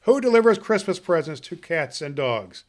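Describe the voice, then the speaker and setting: A man playing a jolly, grandfatherly old Santa Claus, hosting a podcast for children in a folksy, halting manner. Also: a little high, very animated, a little mellow. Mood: folksy, friendly. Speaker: podcast host. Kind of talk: story reading and chat to children.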